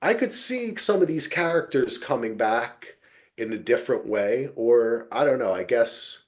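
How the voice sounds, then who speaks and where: An American man hosts a casual podcast chat in a mid-pitched voice. His delivery swings widely up and down. He swears freely and slips into imagined bits of dialogue as he goes. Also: animated, measured pace. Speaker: podcast host. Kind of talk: casual chat about television.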